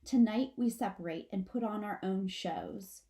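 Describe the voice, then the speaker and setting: Woman playing storybook possum mama, mellow, theatrical, firm yet wistful, giving instructions. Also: mid-range voice, measured pace, natural voice. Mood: firm, rousing.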